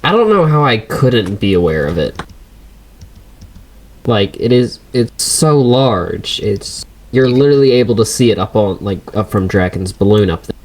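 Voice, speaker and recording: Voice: mid-range voice, lively intonation, moderate pace, a little mellow. An amused player chatting casually during a game. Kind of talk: casual gaming chat. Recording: noisy mic with keyboard clicks.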